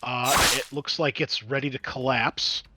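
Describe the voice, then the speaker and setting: A man narrating and refereeing a tabletop roleplaying game as game master, in an informal, excitable way. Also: mid-range voice, unhurried, heavy background noise.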